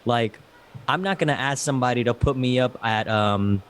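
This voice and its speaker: A man's voice: medium pitch, normal speed, slight background noise. A man in casual podcast conversation, laid-back, joking and slangy.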